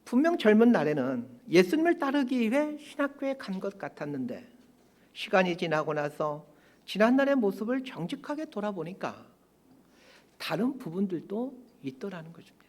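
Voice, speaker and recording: A man in his fifties preaching a sermon as a pastor, in measured phrases with pauses and emphatic stresses. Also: sits high, very animated, darkish tone. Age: 50s. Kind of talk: sermon, prepared and reflective.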